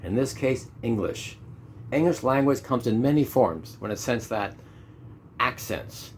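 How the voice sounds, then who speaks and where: A man's voice: medium pitch, animated, measured pace. A Canadian man in his fifties with a mid-range voice delivers a teaching talk. He drives his points home with repetition and rhetorical questions. A faint hiss sits under the recording. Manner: emphatic, repeats key points, rhetorical questions. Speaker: ESL teacher. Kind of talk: instructional monologue.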